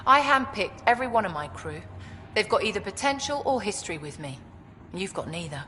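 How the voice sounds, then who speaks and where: A woman's voice: mid-range voice, very animated, fairly quick, pushed and bright, long reverb tail, a little hiss or hum. A woman playing a hardened, short-tempered outlaw leader in a video game, speaking in a blunt, menacing way. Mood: curt, dismissive. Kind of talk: video game cutscene acting.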